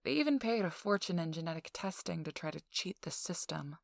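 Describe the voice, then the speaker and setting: A woman's voice: fairly low pitch, lively intonation, creaky, dry recording. A woman playing a feisty, self-assured young socialite in an intimate ASMR audio roleplay, teasing and dryly sarcastic, sometimes dropping toward a whisper. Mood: wry, confiding. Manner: slow, teasing, dry sarcasm, drifting into whispers.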